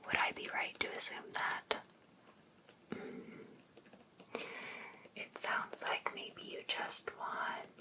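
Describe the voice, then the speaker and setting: An obsessed, jealous life coach played by a woman in an ASMR roleplay. She whispers very slowly and coaxingly to a single client, sweetly pushy and possessive. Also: rough recording. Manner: slow, breathy, coaxing whisper addressed to one client.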